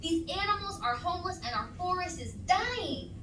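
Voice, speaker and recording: A woman voicing a brave, earnest girl in a storybook, making a loud, impassioned protest. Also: very high voice, expressive, normal speed, pitched above the performer's own voice. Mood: impassioned plea. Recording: roomy, distant mic, noisy home recording.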